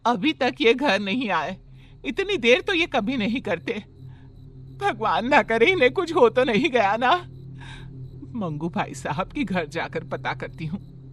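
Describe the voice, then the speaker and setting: A woman plays an anxious, accusing wife from a village cartoon tale. Her highish voice moves up and down with feeling, over faint music. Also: very animated, measured pace, a bit bright. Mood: worried. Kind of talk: animated moral story acting.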